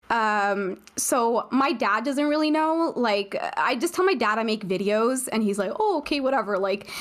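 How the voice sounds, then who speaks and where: A woman's voice: fairly high pitch, fairly bright tone. A young woman from Canada, a guest on a streaming podcast, talking casually and rambling with many fillers and restarts.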